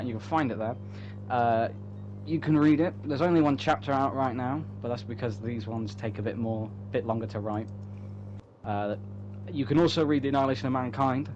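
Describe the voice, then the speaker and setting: A man's voice: medium pitch, animated, moderate pace. A man chatting casually and rambling, often repeating and interrupting himself in a joking way. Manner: self-interrupting, repetitive, joking, swearing casually. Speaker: writer promoting own online story. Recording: muffled audio with clear hum.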